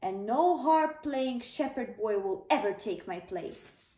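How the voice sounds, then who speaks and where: A woman reading a storybook and voicing a grumpy, jealous king who booms out his words forcefully. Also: expressive, takes it slowly, roomy sound, recorded from a distance. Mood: angry, jealous. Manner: booming, forceful.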